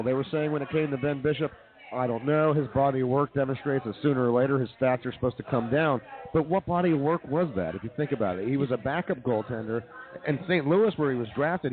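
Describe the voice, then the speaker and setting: A man hosting a live sports talk radio show with running commentary from a brewery. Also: medium pitch. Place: brewery venue, live broadcast. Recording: thin phone-like sound with crowd chatter.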